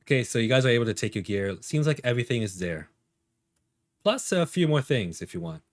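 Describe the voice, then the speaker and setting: A man's voice: mid-range voice, sing-song, brisk, no echo, clean studio sound. A man acting as game master, narrating a tabletop role-playing game casually in informal, improvised phrasing.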